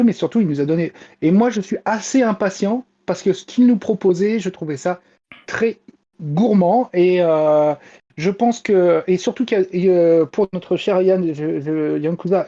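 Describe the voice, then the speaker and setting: A man's voice: sits high, mellow. A man chatting casually as a panel commentator, hesitant and often restarting, heard on a dull lo-fi recording. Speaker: panel commentator on a geek culture show. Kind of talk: casual chat.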